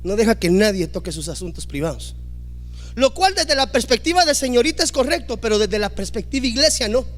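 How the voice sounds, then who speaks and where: Pastor guy preaching hard, really high voice, builds up loud. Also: sing-song, brisk, faint music behind. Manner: emphatic, rising to loud exclamations, acting out quoted dialogue. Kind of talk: preaching a sermon.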